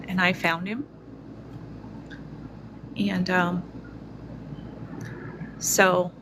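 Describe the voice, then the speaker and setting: Slow-spoken woman in her forties. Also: fairly low pitch, noisy background. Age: 40s. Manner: slow, reflective, many fillers. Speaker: spiritual vlogger. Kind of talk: personal testimony to viewers.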